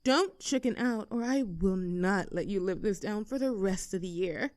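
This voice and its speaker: A woman's voice: mid-range voice, normal speed, darkish tone, no echo, studio quality, lightly acted. A woman playing a young, teasing mean-girl student in a scripted audio roleplay, with a mocking, sarcastic, haughty manner. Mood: daring, goading.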